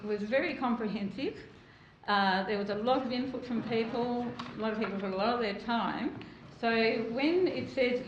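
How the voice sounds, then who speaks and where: A woman asking council pointed questions in a loud, direct manner at a public meeting. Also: on the high side, a little hiss or hum. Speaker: community member asking questions. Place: council chamber. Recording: echoey muffled council chamber.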